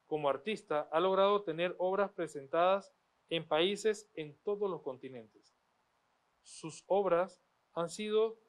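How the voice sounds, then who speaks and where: Guy hosting a uni panel, slightly high voice, formal and slow with pauses, handheld mic. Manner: formal, measured delivery with frequent pauses. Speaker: moderator of a university panel. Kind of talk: reading guest introductions, then moderating. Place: university TV studio set. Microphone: handheld microphone.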